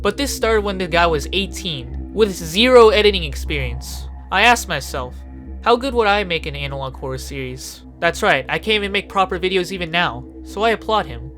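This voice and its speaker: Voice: medium pitch, animated, normal speed, a bit bright. A narrator giving an informal, opinionated video essay commentary and swearing freely. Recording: music playing behind the voice. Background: background music.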